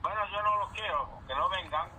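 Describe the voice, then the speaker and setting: Man, very sharp bright voice, slightly high, puzzled, reluctant, repetitive, informal phone call, faint noise. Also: brisk, slightly rough. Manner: puzzled, reluctant, repeating himself. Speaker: prank call recipient. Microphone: telephone.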